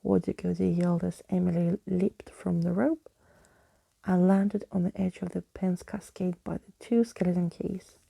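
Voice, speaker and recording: A woman reading a storybook as soft ASMR narration, hushed, breathy and often whispering, close to the microphone. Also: lowish voice, fairly even intonation, normal speed, no echo. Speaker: ASMR reader.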